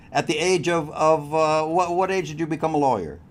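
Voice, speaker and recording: A man hosting a cruise news show and interviewing a guest, talking in a chatty way straight to his listeners. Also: on the high side. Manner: chatty, addresses crew members directly. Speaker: host.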